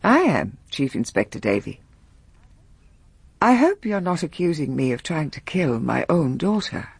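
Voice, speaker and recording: Husky, bold English aristocrat. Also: female voice, sits low, very animated, no echo, full character voice. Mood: defensive. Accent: upper-class English. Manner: brisk, frank, imperious. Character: bold, reckless, worldly aristocratic English mother. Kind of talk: radio drama acting.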